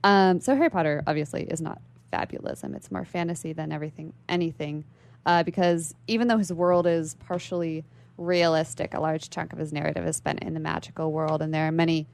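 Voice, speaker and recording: A woman with a creaky voice speaking as an interview guest, explaining literary ideas in a thoughtful, conversational way. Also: low-pitched, fairly even intonation, brisk, a little mellow, dry recording. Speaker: library worker. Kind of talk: conversational literary discussion on a radio show.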